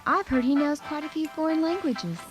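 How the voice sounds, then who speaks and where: A woman's voice: high-pitched, expressive, fairly quick, music in the background. An actress playing a friendly, sympathetic American woman of a frontier town in a Western radio drama. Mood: helpful.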